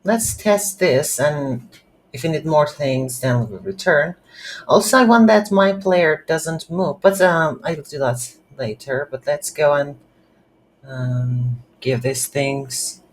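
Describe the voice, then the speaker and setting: Slow, halting coding tutorial instructor. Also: mid-range voice, low-quality recording. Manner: halting, thinking aloud. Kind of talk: unscripted screen-recorded coding tutorial. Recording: light background noise.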